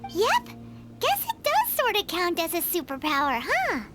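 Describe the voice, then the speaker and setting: A woman voicing a tiny, cheeky, childlike fairy companion in a video game, with a squeaky, exclamatory delivery. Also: wildly swooping pitch, a little slow, fairly bright tone, soft background music, heavily acted. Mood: chirpy, whiny. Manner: speaks of herself in third person, exclamatory. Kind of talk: cartoonish video game voice acting.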